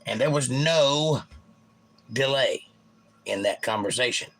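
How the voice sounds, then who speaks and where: A hoarse man in his sixties hosting a casual livestream, rambling and reading out viewer comments. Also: mid-range voice, slow, poor audio. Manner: rambling, folksy storytelling. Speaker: livestream host. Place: home livestream with guitar. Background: loud guitar playing.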